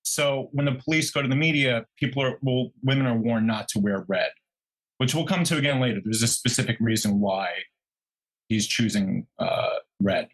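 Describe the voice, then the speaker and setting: A man co-hosting a podcast, adding true crime details in a conversational, halting way. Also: mid-range voice, rough recording. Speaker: podcast co-host.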